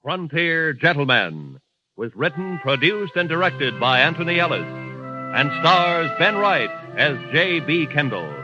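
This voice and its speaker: A formal male announcer reads the closing credits in measured, even phrasing, his voice lively and a little high in pitch. Loud orchestral music plays behind him, and the old radio recording sounds dull.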